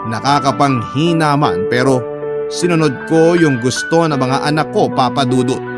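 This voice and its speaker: Man, medium pitch, dramatic scripted narration, letter-reading storyteller, clear musical backing. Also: normal speed. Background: background music.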